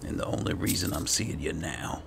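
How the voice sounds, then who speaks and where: Man, extremely low voice, heavy fry, muttered game roleplay commentary, noticeable background noise. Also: normal speed. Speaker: gaming channel host. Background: video game ambience.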